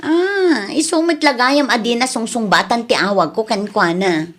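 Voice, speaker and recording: A woman's voice: on the high side, normal speed, natural voice. A woman playing a cheerful, generous and reassuring younger woman in a comedy radio drama, with an Ilocano accent. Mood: affectionate. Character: cheerful, generous, reassuring female friend and daughter.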